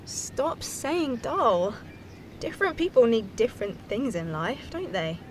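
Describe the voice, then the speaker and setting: A woman's voice: on the high side, very animated, unhurried, a little hiss or hum. Wry, restless young woman. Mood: restless, earnest. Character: restless young female graduate back home, wry and questioning. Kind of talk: naturalistic audio play dialogue.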